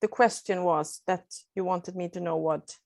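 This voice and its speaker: Swedish lady nurse, hesitant english, picks words slowly, dry close sound. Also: fairly low pitch, vocal fry, a little mellow, dry recording. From Sweden. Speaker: nurse guest. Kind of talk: interview answers.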